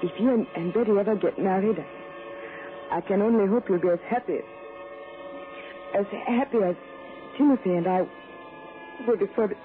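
An actress playing a gentle, fragile grieving woman in a 1960s radio drama, with halting, polite delivery. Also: mid-range voice, lightly acted. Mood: grateful, sorrowful. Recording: muffled vintage radio recording, faint music.

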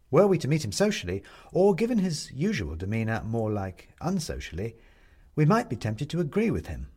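Husky-voiced man narrating an audiobook. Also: mid-range voice. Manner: wry, expressive reading. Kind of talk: scripted storybook narration.

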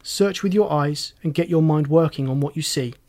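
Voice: mid-range voice, moderate pace, a little mellow, natural voice. A narrator voicing a commanding, wry male police inspector in an audiobook, folksy and teasing with pointed questions. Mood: brisk, commanding.

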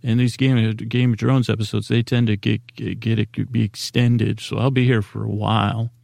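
A man hosting a sleep podcast, rambling in a meandering, halting way with a goofy, self-deprecating streak. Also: on the low side, little pitch movement, vocal fry, a little mellow, no room sound, clean studio sound. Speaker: podcast host.

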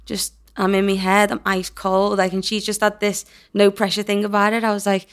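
A woman's voice: mid-range voice, completely flat delivery, a little fast. A woman co-hosting a casual chat, rambling and hesitant, a bit hungover. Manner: rambling, hesitant, laughs often. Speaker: co-host.

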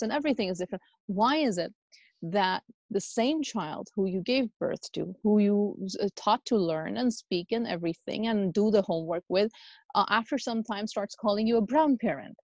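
Woman, medium pitch, earnest, emphatic storyteller, rhetorical questions, dry muffled lo-fi recording. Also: moderate pace, a little mellow, dry recording. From United States. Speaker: podcast guest, Islamic educator and youth workshop leader. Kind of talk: earnest conversational interview talk.

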